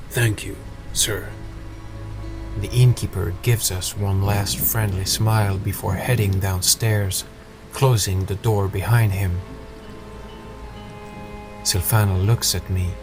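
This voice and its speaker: A man reads scripted first-person fantasy narration in a fairly low voice. His storytelling is measured and deliberate. Loud music runs underneath him.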